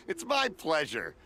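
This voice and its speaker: A man's voice: high-pitched, pushed and bright, exaggerated voice. A man playing a friendly, generous festival stallkeeper in a video game, informal and jovial. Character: friendly, generous, folksy festival mask vendor. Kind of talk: animated video game acting.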